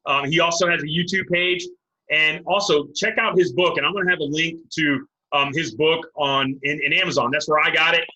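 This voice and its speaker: A man hosting a casual interview, speaking earnestly to viewers in a halting, repetitive way with emphatic appeals. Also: on the high side, steady tone, sharp tone, highs cut off. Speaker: host, sports recruiting coach.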